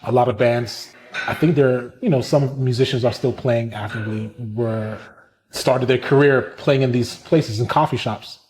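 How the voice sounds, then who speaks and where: A man, telling his story in a casual interview, animated and quick to laugh. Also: medium pitch, noisy background. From Brooklyn, New York. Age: 30s. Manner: animated, chatty, laughs often. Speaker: musician and community organizer, interviewee.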